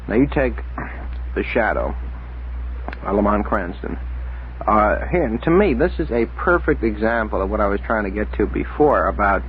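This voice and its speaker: A man's voice: lowish voice, animated, normal speed, phone-quality sound, slight background noise. A man hosting a conversational radio interview, recorded on an old 1960s radio tape. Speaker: radio talk show host. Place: radio studio.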